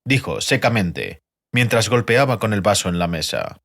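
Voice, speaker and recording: A man's voice: fairly low pitch, very animated, normal speed, creaky, a bit bright. A man narrating a fantasy audiobook as a scripted storyteller.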